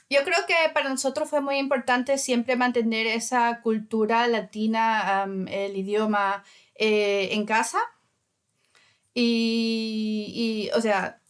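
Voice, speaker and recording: Woman from Ecuador, thirties, interview guest, hesitant, many fillers, clear resonant voice. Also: a little high, fairly even intonation, slow. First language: Spanish. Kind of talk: casual interview.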